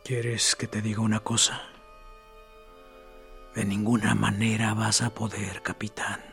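An actor in a radio drama playing a calm, unbreakable prisoner who speaks quietly and often in a whisper. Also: male voice, on the low side, a little slow, gravelly, faint music behind. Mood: defiant whisper.

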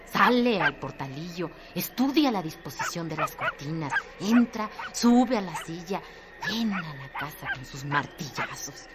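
A woman with a low voice narrates a radio drama. She reads short descriptive phrases from a script, with very loud noise in the background. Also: big pitch swings, measured pace. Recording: strong noise behind the voice.

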